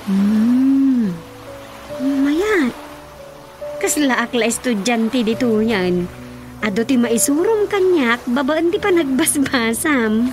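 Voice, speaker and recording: Chatty Ilocano woman, high voice, animated, teasing, radio drama comedy, music underneath. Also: takes it slowly. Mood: playful, chatty. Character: chatty teasing woman, Rosa, rushing off to her mother.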